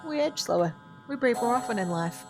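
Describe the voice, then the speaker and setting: An actress playing a centuries-old witch in an audio drama, gracious and quietly sorrowful, with formal, measured diction. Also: a little high, lively intonation. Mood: matter-of-fact. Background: music.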